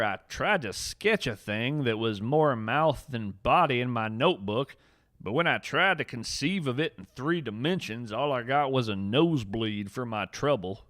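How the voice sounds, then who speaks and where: A man's voice: medium pitch, a bit bright, no echo, clean studio sound. A man playing an earnest, folksy naturalist who narrates scripted fiction podcast tales in a dramatic, wryly whimsical, literary way.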